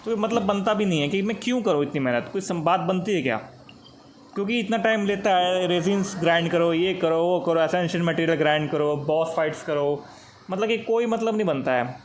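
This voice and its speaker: A man's voice: sits high, rapid-fire, slight background noise. A man with an Indian accent chatting casually and grumbling as a game streamer, switching between two languages. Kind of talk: casual chat over live gameplay.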